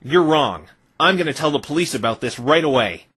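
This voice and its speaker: A man playing an earnest, flustered young defence lawyer in a visual novel fan dub, expressive and incredulous. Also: a little high, fairly quick. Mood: alarmed, defiant.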